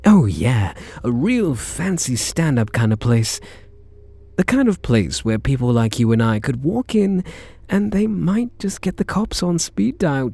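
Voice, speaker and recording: A British man playing a charming, teasing kidnapper who is softening toward his captive, in an intimate ASMR roleplay. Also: medium pitch, gravelly, no room sound, heavily acted. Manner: teasing, flirtatious, murmuring pet names.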